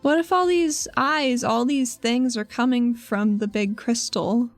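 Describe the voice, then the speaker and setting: Higher-voiced woman, dry close sound. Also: a little slow, no echo. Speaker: player in a tabletop game. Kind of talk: casual in-character role-play and discussion. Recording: faint music under a dry voice. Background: soft background music.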